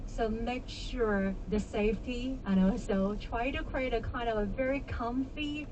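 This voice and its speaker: A woman co-hosting a radio show, giving lifestyle advice in a measured, advisory way. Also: on the high side, noisy background.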